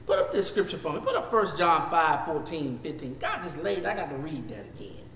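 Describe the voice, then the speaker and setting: A man in his fifties with a Southern US accent teaching a Bible study as pastor. He swings from shouting to low talk, recorded in a church sanctuary on a lapel mic. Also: expressive, a little fast, reverberant, in a large hall, slight background noise. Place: church sanctuary, seated before a projection screen.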